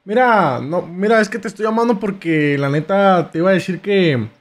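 A man's voice: sits high, moderate pace. A young man with a Mexican accent chatting casually in a slangy, stammering way, on a close microphone. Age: young adult. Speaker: prank-call streamer. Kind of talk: casual prank call banter. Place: small streaming room indoors. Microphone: close boom-arm microphone.

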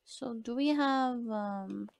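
A woman streamer with a soft voice, chatting casually as she plays a horror game and reads its lines aloud. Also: a little high, slow, creaky. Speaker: gaming streamer. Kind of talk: casual let's-play commentary.

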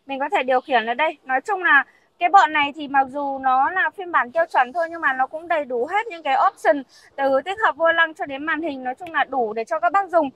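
Bright high-voiced young saleswoman. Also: fairly quick, no echo. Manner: chatty, repeats herself, addresses viewers as "các bác". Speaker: used car salesperson. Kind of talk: casual showroom sales walkthrough. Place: in a car and a car showroom.

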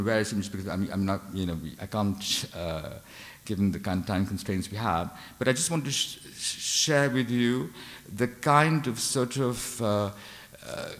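A man giving an unscripted art history lecture from a lectern, talking in a halting way with many fillers and restarts. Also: on the low side. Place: large dark lecture hall. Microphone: lectern microphone. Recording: long hall reverb.

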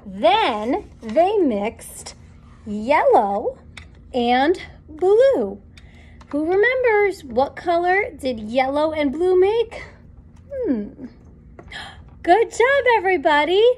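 A woman in her thirties leads a children's story time in a high, very lively voice. She takes her time, with a sing-song, encouraging delivery that pauses around questions for little listeners. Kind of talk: animated picture-book read-aloud for young children. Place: small indoor classroom or playroom.

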